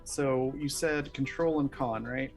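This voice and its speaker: A man's voice: medium pitch, fairly even intonation, a little slow. A man playing a tabletop role-playing game, talking casually as he works through his rolls. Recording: faint music behind the voice.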